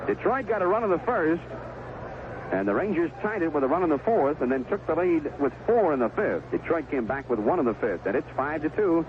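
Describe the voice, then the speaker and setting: A man's voice: on the high side, very animated, brisk, narrow, tinny audio, background noise. A man calling a baseball game play by play on a 1970s radio broadcast. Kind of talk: live radio baseball commentary.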